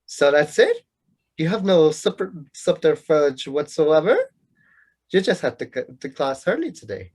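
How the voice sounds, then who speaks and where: Man as sarcastic young male student, lovelorn rival, mocking and bookish, slightly high voice, radio play. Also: sing-song, a little slow, a little mellow, slightly rough, exaggerated voice. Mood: needling. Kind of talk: amateur radio play acting.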